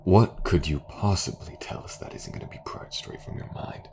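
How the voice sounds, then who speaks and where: A man playing a gruff, skeptical, blunt detective in an audio roleplay. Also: low voice, moderate pace, darkish tone, a little hiss or hum, close to an everyday voice. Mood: scornful. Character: gruff, skeptical, foul-mouthed male detective.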